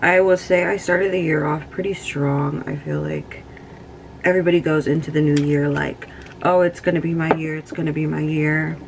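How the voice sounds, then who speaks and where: A young woman in her twenties chats through a get-ready-with-me makeup routine at home. She talks candidly, swears freely and fills her speech with lots of fillers. Her voice has a gravelly fry, with a faint hiss in the background. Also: low-pitched, steady tone, moderate pace. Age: 20s. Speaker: beauty vlogger. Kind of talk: casual get-ready-with-me chat.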